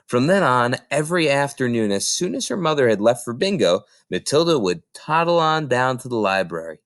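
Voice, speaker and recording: A man reading a children's novel aloud as an expressive narrator. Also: medium pitch, brisk, no room sound, studio quality. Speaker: reader.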